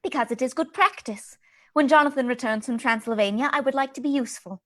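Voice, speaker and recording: A woman's voice: high voice, fast talker, a bit bright, no room sound. An actress playing a sensible, dry-witted and studious young Victorian woman in a radio drama, prim and wry. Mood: prim, busy.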